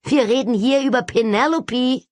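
A woman's voice: sits high, moderate pace, a little mellow, no room sound, clean studio sound, voice pushed up, lightly acted. A woman voicing a blunt, impatient young female ghost hunter in an audiobook, snappy and exasperated. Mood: irritated.

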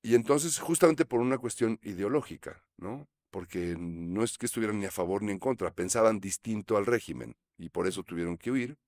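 Mexican male guest, 50s, low-pitched, relaxed and reflective anecdotes, close studio mic. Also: brisk, hardly any pauses, no room sound. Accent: Mexican Spanish. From Mexico City. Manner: reflective, gestures while talking, frequent fillers. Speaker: actor guest. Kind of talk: relaxed storytelling interview. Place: small studio set. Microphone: studio mic on boom arm.

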